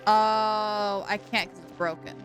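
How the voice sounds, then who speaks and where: A woman's voice: medium pitch, fairly even intonation, slow, music in the background, low-quality recording. A woman streaming a game live, chatting to herself as she works out puzzles. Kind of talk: live gameplay commentary.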